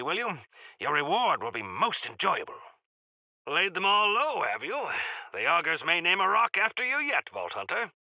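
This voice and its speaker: A man's voice: high voice, pushed and bright, no room sound, exaggerated voice, rough recording. An actor voicing a genial, pompous male quest-giver in a video game, speaking in grandiloquent, courtly phrases with wry asides. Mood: wry, persuasive. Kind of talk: theatrical video game character acting.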